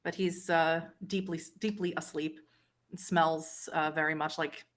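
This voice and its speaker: A woman running a tabletop horror roleplay as game master, narrating scenes in a descriptive, pausing storyteller way. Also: low-pitched, steady tone.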